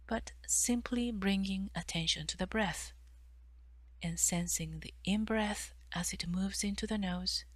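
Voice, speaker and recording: A woman guiding a meditation in a soft, breathy delivery with measured pauses between phrases. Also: fairly low pitch, no echo. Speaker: meditation guide, therapist. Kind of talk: guided meditation narration.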